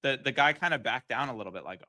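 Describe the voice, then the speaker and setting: A man's voice: mid-range voice, expressive, fast, sharp tone. A man in casual, emphatic conversation as a panelist, acting out quoted sales lines as he comments on them. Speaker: podcast panelist.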